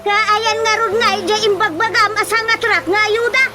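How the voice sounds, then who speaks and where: A woman playing an excitable, insistent village woman with an Ilocano accent in a radio comedy drama. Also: extremely high pitch, moderate pace, over loud music. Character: nagging, excitable village woman seeking food aid.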